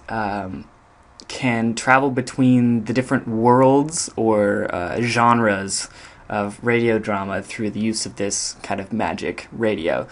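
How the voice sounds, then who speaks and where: A man giving an informal walkthrough of a demo, speaking hesitantly as if thinking aloud. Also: medium pitch. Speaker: game audio designer presenting own project.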